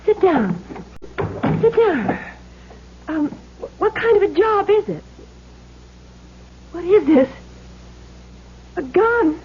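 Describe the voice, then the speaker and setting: A spirited young wife with a very high, emotional voice. She speaks slowly and earnestly in a dull-sounding vintage radio drama. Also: sing-song, a little hiss or hum, full character voice. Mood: polite then alarmed. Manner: emotional, pleading, emphatic. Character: decent, spirited young married woman, earnest. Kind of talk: old-time radio drama acting. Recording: dull lo-fi old radio recording.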